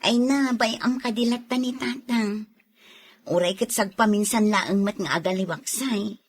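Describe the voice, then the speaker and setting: A woman's voice: medium pitch, a little slow, breathy edge. A woman playing a questioning, doubtful wife in a radio drama, with an Ilocano Filipino accent. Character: wife Adora, questioning and doubtful about an adopted baby.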